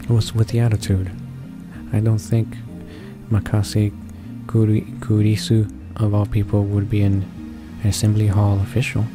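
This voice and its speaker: A man's voice: on the low side, monotone, moderate pace. A man reading along to a visual novel in a casual amateur way, stumbling over words and correcting himself. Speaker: gaming channel host reading along. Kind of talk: halting read-aloud of visual novel narration, casual asides. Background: game music playing softly.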